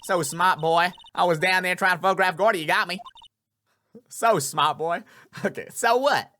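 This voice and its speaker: A man's voice: sits high, normal speed, pushed and bright, pitched above the performer's own voice. A man voicing a brash, folksy Southern woman photographer in a video game, with a slangy, boastful drawl. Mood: teasing.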